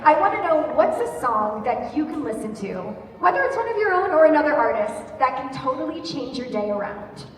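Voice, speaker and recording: A woman's voice: high-pitched, very animated, fairly quick, few pauses. A woman moderating a convention Q&A in an upbeat, enthusiastic way. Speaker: panel moderator. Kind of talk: hosting a convention Q&A. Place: large convention hall. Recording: echoey hall from far off, crowd noise.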